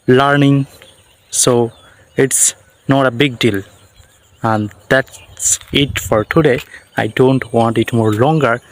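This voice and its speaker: Slow-talking Bangladeshi man, outdoors. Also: mid-range voice. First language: Bengali. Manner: halting short phrases with pauses. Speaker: English teacher. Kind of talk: slow unscripted English lesson. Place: outdoors in the countryside.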